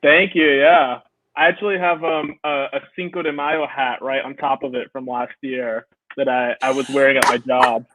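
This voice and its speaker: A man's voice: medium pitch, normal speed. A young man from Brooklyn, chatting as a guest on a video call and laughing often as he tells stories. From Brooklyn, New York. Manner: laughs often, animated storytelling. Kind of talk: casual video-call interview. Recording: tinny phone-like dry sound.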